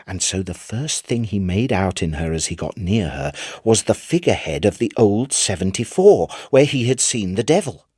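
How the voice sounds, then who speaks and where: A man narrating a literary tale in an expressive, theatrical storytelling manner. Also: on the low side, moderate pace, few pauses, slightly rough, no room sound, clean studio sound. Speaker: narrator, audiobook reader. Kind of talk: dramatic scripted literary narration.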